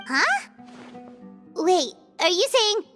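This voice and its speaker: A tiny, excitable fairy sidekick, extremely high and squeaky, with wildly swooping pitch. The words come slowly and childlike, in cheerful video game dialogue over clear background music. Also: female voice, exaggerated voice. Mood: surprised.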